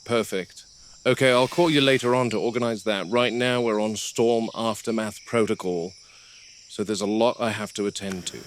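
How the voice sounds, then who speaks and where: A man playing a weathered game warden who speaks tersely, quiet and subdued when upset. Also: on the low side, steady tone, moderate pace, close to an everyday voice. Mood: businesslike. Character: weathered male park game warden, grim, practical. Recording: noisy, with crickets and insects behind.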